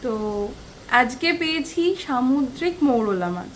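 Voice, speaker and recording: High-voiced West Bengali woman vlogging. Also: lively intonation, a little slow. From West Bengal, India. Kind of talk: casual chatty home vlog, storytelling to viewers. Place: small one-room home. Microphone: handheld camera at arm's length. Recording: faint background noise.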